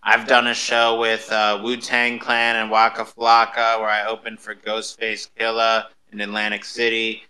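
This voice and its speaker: A man's voice: lowish voice, monotone, normal speed, few pauses, bright, forceful tone, low-quality recording. A man hosting a casual panel talk, informal and enthusiastic, with slangy promotional patter. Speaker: host of an audio panel, music performer.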